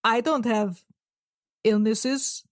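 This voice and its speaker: A woman acts out a little blue fish man from a fantasy tabletop game. He talks slowly and rather high, stammering through polite small talk. He is awkward and clueless, and the sound is very dry. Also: steady tone, no echo. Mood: awkward, evasive. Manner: halting, stammering, fumbling.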